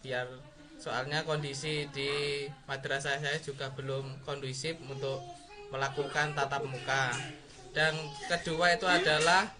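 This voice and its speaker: A man's voice: medium pitch, flat delivery, unhurried, crowd noise. A man being examined over a video call, answering politely and a little shyly, often adding "Bu" and "Pak". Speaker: teacher candidate being examined. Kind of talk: online exam interview. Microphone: computer mic on video call.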